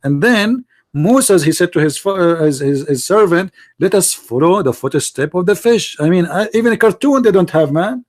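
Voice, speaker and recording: Insistent high-voiced man, Arabic accent. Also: lively intonation, normal speed, few pauses, a little mellow. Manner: insistent, repeats himself, mocking. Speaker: host. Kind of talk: heated debate.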